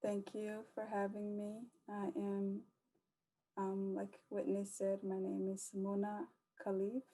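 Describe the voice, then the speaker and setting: Soft-spoken woman panelist, slow and even. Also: mid-range voice. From United States. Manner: measured, with frequent um fillers. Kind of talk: virtual panel remarks.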